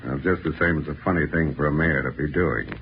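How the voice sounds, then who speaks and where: An actor playing a tough, sardonic American detective, blunt and defiant, in 1940s radio drama style. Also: male voice, rumbling low, a little fast, gravelly. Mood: defiant, wry. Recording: dull, noisy old radio recording.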